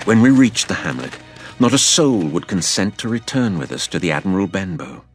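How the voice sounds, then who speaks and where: A man playing a grave narrator who recounts his boyhood adventure in measured, literary storytelling for an audio drama. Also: sits low, sing-song, soft background music. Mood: grave, suspenseful.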